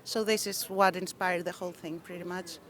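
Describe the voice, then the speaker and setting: A woman's voice: lowish voice, steady tone, fairly quick. A young woman from Greece, an animation filmmaker, answering interview questions in an earnest way. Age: young adult.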